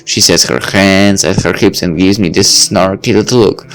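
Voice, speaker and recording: A man's voice: low-pitched, steady tone, normal speed, fairly bright tone, faint music behind, low-quality recording. A young man reading a visual novel aloud, adding wry, chatty asides. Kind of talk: reading aloud with off-the-cuff comments.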